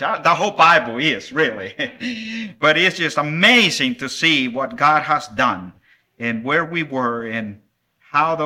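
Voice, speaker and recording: A man's voice: mid-range voice, sharp tone. A middle-aged man from El Salvador preaching at a revival meeting and giving a children's lesson, friendly and conversational with fillers.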